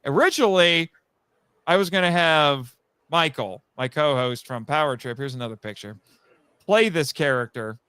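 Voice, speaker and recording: Clear-voiced man in rambling podcast chat. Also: on the high side, normal speed, pushed and bright, no echo. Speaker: guest, audio drama writer. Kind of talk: casual live podcast chat.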